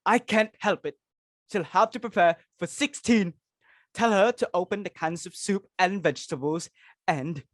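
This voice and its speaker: A woman's voice: on the low side, moderate pace, dry recording, lightly acted. Frantic, domineering society matron played by a woman, grand and exclamatory stage-comedy delivery, dry recording. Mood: exasperated. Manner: theatrical, self-important, exclamatory. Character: imperious, frantic society hostess and domineering mother, married woman. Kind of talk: dramatic reading of a stage comedy.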